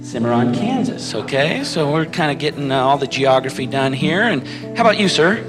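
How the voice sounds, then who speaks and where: A man's voice: on the high side, sing-song, fairly quick. A man hosting a question session with young audience members, friendly and chatty, joking as he moves through the rows. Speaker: event host. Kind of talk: moderating audience Q&A. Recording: hall reverb, crowd, music.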